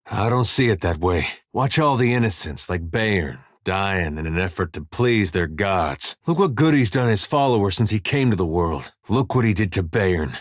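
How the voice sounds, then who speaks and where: An actor voicing an easygoing, wry and weary farmer turned soldier in a video game, with a folksy, plain-spoken drawl. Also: male voice, low-pitched, no room sound, clean studio sound. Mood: weary, grim. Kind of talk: video game companion dialogue.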